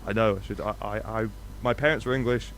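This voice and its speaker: A man from Scotland without a Scottish accent, talking excitedly and swearing in a casual podcast chat. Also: fairly low pitch, fast, a little hiss or hum. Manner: animated, swears, repeats himself. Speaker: podcast co-host. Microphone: podcast microphone.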